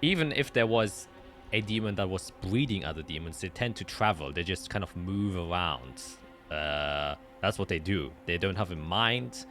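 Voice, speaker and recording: A man narrating a tabletop roleplaying game as game master, explaining in a halting way and often rephrasing. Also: deep voice, animated, a bit bright, faint music behind.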